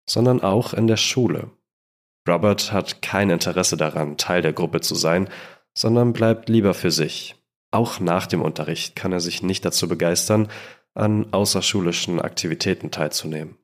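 A man co-hosts a true-crime podcast, narrating from a script in a conversational storytelling manner. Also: on the low side. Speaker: podcast co-host.

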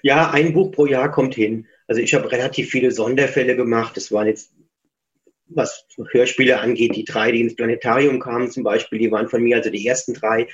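A man from Germany, an author, answering interview questions over the phone in a relaxed, chatty way with many fillers. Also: mid-range voice, fairly quick. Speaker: book author. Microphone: phone line. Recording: muffled phone-call sound.

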